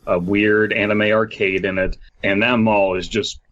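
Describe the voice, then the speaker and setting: A man's voice: lowish voice, level tone, measured pace, a bit bright, dull audio. A man co-hosting a podcast, chatting in a colloquial, sweary way and reading a story aloud. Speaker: podcast co-host. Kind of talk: casual group banter and story reading.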